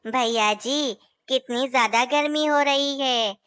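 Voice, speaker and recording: A woman's voice: high-pitched, slow pace, a bit bright, smooth, no room sound. A woman voicing a scheming male owl brother in a cartoon story who later says sorry. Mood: worried. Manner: sly, then apologetic. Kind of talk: Hindi cartoon voice acting.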